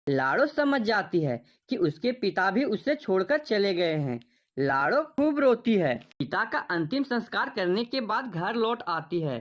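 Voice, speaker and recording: A woman narrating a children's cartoon story in an even, scripted storytelling tone. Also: medium pitch, measured pace.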